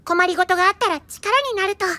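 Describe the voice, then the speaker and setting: A woman's voice: extremely high pitch, fairly even intonation, fast talker, pushed and bright, no room sound. A woman voicing a sweet, earnest young boy knight in an anime-style voice drama, speaking courteously. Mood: earnest, polite. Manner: courteous, deferential speech.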